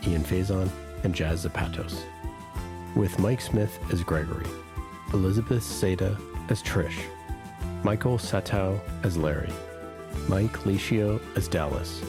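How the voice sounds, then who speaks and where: A man announcing a podcast, reading scripted sponsor messages and credits. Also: low voice. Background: music.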